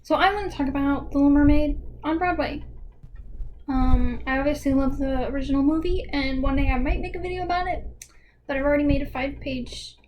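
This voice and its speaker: High-voiced young woman, chatty video essayist. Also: fairly quick, a little mellow, slight background noise. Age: young adult. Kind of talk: casual video essay commentary.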